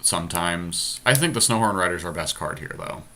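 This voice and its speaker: A man's voice: low voice, lively intonation, moderate pace, bright, forceful tone. A man casually thinking aloud as he co-hosts a card draft, explaining his picks analytically. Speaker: podcast host and card game drafter. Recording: faint noise, amateur screen-capture recording.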